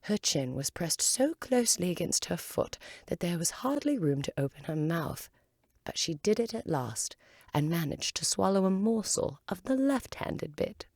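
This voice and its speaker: A woman's voice: fairly low pitch, sing-song, moderate pace, a little mellow, no echo, clean studio sound. A woman narrating a children's story expressively as a volunteer reader.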